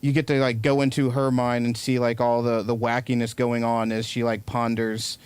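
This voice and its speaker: A man's voice: mid-range voice, completely flat delivery, moderate pace, darkish tone, clean tone. A man giving a casual interview as a film maker, rambling along and peppering his speech with filler words.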